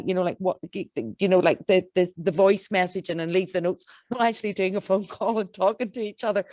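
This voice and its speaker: A woman's voice: fairly low pitch, no room sound. A woman hosting a webinar, chatty and enthusiastic with plenty of fillers. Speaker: webinar host.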